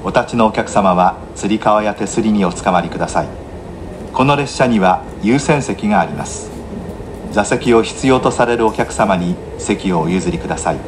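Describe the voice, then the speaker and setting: A man giving formal, measured, scripted onboard announcements as a train announcer, polite and formulaic. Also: on the low side, lively intonation. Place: inside a train, over the announcement system. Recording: noisy train cabin, air-conditioning hum.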